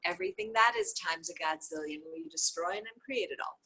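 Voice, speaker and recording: A woman reading a dialogue book aloud, speaker labels included, with repeated phrases in an even, steady cadence. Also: deep voice, fast talker, creaky, slightly rough, rough recording. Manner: occasional soft offhand asides.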